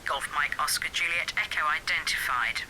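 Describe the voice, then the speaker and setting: A man playing a calm military air traffic controller giving brisk, official instructions over the radio. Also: on the high side. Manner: brisk, official, matter-of-fact. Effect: radio transmission. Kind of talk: clipped radiotelephony phraseology. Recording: hissy, noisy, harsh radio link.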